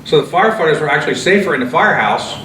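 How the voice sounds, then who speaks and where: A man's voice: on the high side, rapid-fire. Earnest older man, echoey distant sound. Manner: earnest, steady, with frequent fillers and restarts. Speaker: retired fire chief and author. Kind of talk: interview answers. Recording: echoey room, voice from off-mic, clear background noise.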